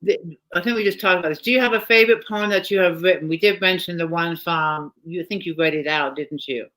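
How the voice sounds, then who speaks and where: A woman's voice: fairly low pitch, fast, a bit bright, low-quality recording. Chatty British woman hosting a live chat. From Britain. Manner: chatty, laughs often. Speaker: host.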